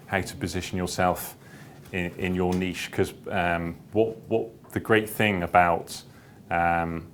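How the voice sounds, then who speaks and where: A man with a low, deep voice gives an informal seminar talk. He speaks hesitantly, often repeating and restarting phrases as he works toward his point. The recording carries background noise and a low rumble. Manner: hesitant, repetitive, circling back through his phrases. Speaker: seminar speaker, publisher and founder.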